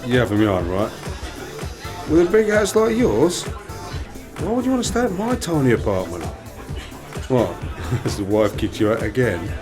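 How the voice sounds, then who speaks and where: A man's voice: medium pitch, wildly swooping pitch, a little slow. A man acting a teasing, sceptical best mate in an amateur radio play, casual and questioning, with music behind. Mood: teasing, matey.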